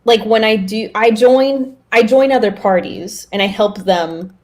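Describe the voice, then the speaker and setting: A woman's voice: fairly high pitch, a little slow, a little mellow. A woman co-hosting a podcast, talking casually and informally, often acting out little asides as she tells things. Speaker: podcast co-host.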